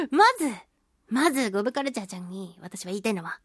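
A woman with a high, girlish pitch hosts a radio talk show. Her intonation bounces widely as she chats playfully and throws in expressive exclamations. The sound is dry, with no room echo. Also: fairly quick, slightly rough. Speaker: radio show host, voice actress. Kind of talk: chatty solo radio talk, reading and answering listener mail.

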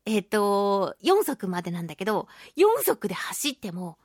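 Lady radio host, high voice, super animated casual storytelling, dry studio sound. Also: normal speed, no echo. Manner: animated, acts out quoted remarks. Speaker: radio show host, voice actress.

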